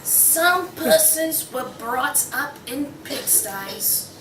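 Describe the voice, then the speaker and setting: Young woman as high-voiced prim, scornful wife. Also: animated, extremely slow, exaggerated voice. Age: young adult. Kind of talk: table read of a radio play. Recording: echoey room, distant mic, clear noise.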